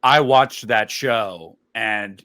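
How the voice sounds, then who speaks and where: A man's voice: on the low side, drawn-out words, sharp tone. A man on a podcast panel giving blunt, emphatic opinions in casual debate, acting out imagined quotes as he argues.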